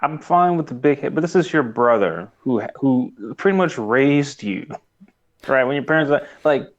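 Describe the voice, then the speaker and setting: A man's voice: mid-range voice, expressive, moderate pace, dull audio. A man co-hosting a podcast in casual chat about a cartoon, often acting out bits of imagined dialogue. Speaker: podcast co-host.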